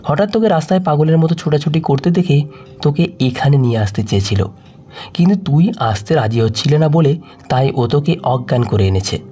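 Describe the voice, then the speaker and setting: A man's voice: a little high, expressive, fairly quick, warm tone, close to an everyday voice. A man voicing a kind, motherly middle-aged aunt who speaks in a tender, coaxing way in an audio story.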